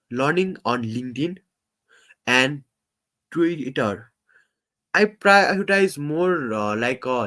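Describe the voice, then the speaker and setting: A man hosting a casual live stream, talking in halting English full of fillers and restarts, and he says he stammers. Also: medium pitch, a bit bright. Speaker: livestream host.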